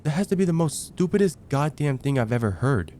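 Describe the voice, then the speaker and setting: Man acting as nervous lovesick guy friend, asmr roleplay, warm relaxed voice, halting n sincere, faint rain noise in the back. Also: a little high, close to an everyday voice. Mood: exasperated, adoring. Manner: halting, stammering, earnest. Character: lovestruck young male heartbreaker friend, nervous and earnest. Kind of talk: ASMR roleplay, intimate dramatic monologue.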